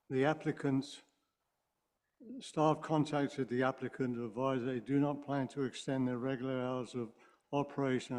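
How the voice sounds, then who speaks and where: A man's voice: medium pitch, darkish tone. A man, a councillor, speaking in favor of a motion in council debate with hesitant, stumbling restarts. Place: council chamber.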